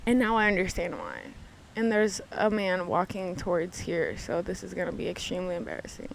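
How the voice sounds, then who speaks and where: A woman's voice: fairly even intonation, a little fast, creaky. Candid woman podcaster with noisy background. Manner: candid, swearing freely, conversational. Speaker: podcast host. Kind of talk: casual solo commentary. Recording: noisy background, vehicle-like ambient rumble.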